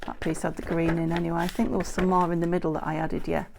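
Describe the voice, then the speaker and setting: Lower-pitched uk woman, gravelly and a bit croaky, rambly craft chat with lots of ums, faint hiss behind. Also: little pitch movement, fast talker. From United Kingdom. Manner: chatty, rambling, self-correcting with frequent ums. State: a bit croaky, losing her voice. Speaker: craft channel host. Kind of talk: casual crafting tutorial chat. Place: indoors at a craft table. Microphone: overhead camera, hands only on table.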